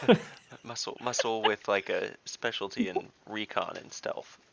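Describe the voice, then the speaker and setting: A man's voice: on the low side, sing-song, slow pace, vocal fry, crowd noise. A man playing in an online tabletop game, joking, giggling and trailing into asides, over slightly muffled online audio. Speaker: player. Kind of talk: casual tabletop game play. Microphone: video call mic.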